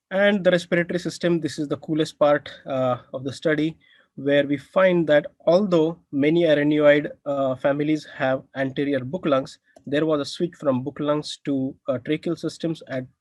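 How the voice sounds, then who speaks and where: A young man with an Indian accent presents his research from an air-conditioned room, stopping often on "uh" and lifting his voice at the parts he finds exciting. His pitch sits in the middle of the range. The sound is close and dry, with almost no room echo. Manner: many "uh" fillers, enthusiastic about findings.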